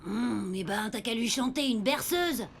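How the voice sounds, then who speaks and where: A woman voicing an excitable young pirate crewmate in a children's cartoon, expressive and exclamatory. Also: a little high, measured pace, gravelly, a little hiss or hum. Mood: calm, teasing. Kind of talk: children's animated cartoon acting. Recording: cartoon music behind the voice.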